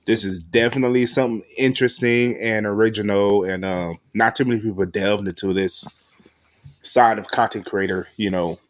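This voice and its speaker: Man, podcast host, fairly low voice, casual interview chat, slangy and informal. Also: fairly even intonation, moderate pace.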